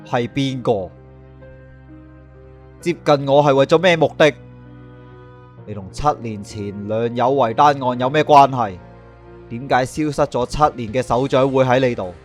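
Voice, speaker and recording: A man plays a proud young Hong Kong police superintendent in a Cantonese radio drama. He is obsessive and menacing, brooding theatrically in a mid-pitched voice over a music bed. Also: close to an everyday voice. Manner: theatrical, brooding, swinging to outbursts. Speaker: voice actor.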